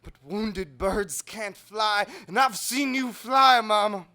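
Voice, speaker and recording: A man performing slam poetry as a dramatic recitation, earnest and emotionally intense, with deliberate phrasing. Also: sits high, bright, forceful tone. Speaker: slam poet.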